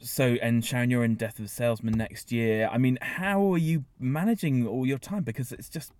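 A man's voice: mid-range voice, normal speed, darkish tone, slight background noise. A man hosting a casual podcast interview in a chatty way.

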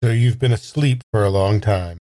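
Man playing a low-voiced, dry, probing man. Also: moderate pace, mellow, dry recording, close to an everyday voice. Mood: guarded, curious. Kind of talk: improvised roleplay in an audio drama game.

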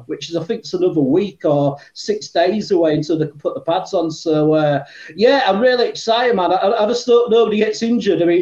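A man's voice: high-pitched, darkish tone. A man with a Yorkshire accent, chatting as a podcast guest, rambling with lots of fillers and the odd swear word. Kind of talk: casual chat. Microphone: earbuds. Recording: echoey room, dull lo-fi earbud mic.

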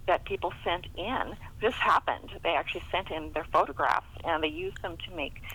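A woman's voice: on the low side, very animated, normal speed, vocal fry, sharp tone, breathy edge, rough recording. A middle-aged woman chatting casually as a novelist being interviewed, often chuckling as she talks. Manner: chuckles often while talking. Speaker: guest, romance novelist.

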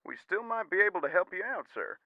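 An actor playing a polite, unflappable cable company manager who keeps upselling in a comedic audio drama. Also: male voice, high voice, sing-song, quick pace, dry recording, muffled recording. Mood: upbeat pitch. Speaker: customer service manager.

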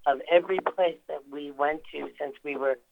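A woman's voice: low-pitched, normal speed, creaky, phone-quality sound, slight background noise. An older woman, an actress, talking in a phone-in radio interview, chatty and rambling with lots of fillers and restarts. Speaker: actress guest. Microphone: phone call.

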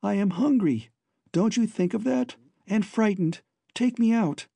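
A frightened young woman, tiny and held captive, begs in a high, breathless voice, put on by a male narrator. Her tone stays mellow and a little husky. The sound is dry and close. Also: unhurried, no echo, full character voice. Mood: pleading. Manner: pleading, breathless.

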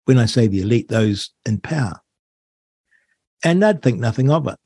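A man's voice: mid-range voice, animated, moderate pace, darkish tone, breathy edge, no room sound, clean studio sound. A middle-aged man with a New Zealand accent, hosting a talk radio show, speaking in an earnest and indignant way. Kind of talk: talk radio monologue and interview. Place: radio studio.

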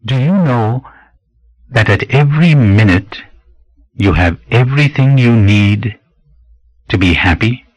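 A man with a fairly low voice leads a guided meditation. He speaks very slowly and deliberately, leaving pauses between phrases as he gives instruction. The recording is close and dry. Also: lively intonation, dry recording, poor audio. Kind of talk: guided meditation, scripted spiritual narration.

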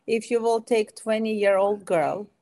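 A woman's voice: medium pitch, measured pace, mellow, dry recording. A middle-aged woman from Armenia with an Armenian accent, answering as an interview guest in an enthusiastic, emphatic way. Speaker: guest, aesthetic medicine physician.

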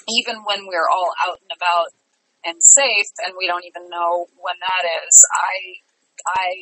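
A woman's voice: fairly low pitch, pushed and bright, husky, rough recording. A woman giving a casual, reflective interview, speaking earnestly and repeating words for emphasis. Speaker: interview guest, theatre company artistic director; tour director.

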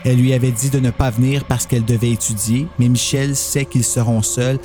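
A man's voice: mid-range voice, fairly even intonation, brisk, mellow, faint music behind. A man narrating a scripted horror story as a podcast host.

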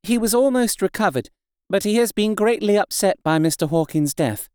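A man voicing a demure young married woman in an audiobook, speaking modestly, gently and politely. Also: sits high, expressive, normal speed, smooth, no echo, studio quality. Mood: concerned.

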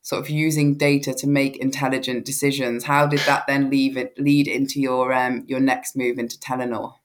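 A woman's voice: extremely low pitch, little pitch movement, normal speed. A woman hosting a podcast interview, asking polished, friendly questions. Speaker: host.

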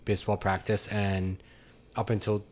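A man's voice: fairly low pitch, little pitch movement, moderate pace, darkish tone. A man co-hosting a podcast in casual chat, talking in a matter-of-fact way and thinking aloud. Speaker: podcast co-host.

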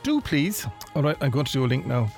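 A man's voice: mid-range voice, big pitch swings, a little fast, darkish tone, soft background music. An Irish man playing a self-important, put-upon late-night love-song radio presenter in a comedy radio play, bossy and dry in his delivery.

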